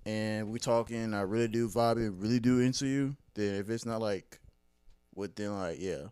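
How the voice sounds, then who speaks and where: A young man talking frankly about dating on a casual podcast, relaxed and often trailing off quietly. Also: fairly low pitch, normal speed, smooth, no echo.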